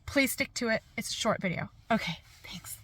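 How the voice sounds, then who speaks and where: A woman vlogger from Canada with a naturally high-pitched voice, rambling casually between points with lots of fillers. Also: lively intonation, normal speed, fairly bright tone, breathy edge. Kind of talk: casual talking-head commentary.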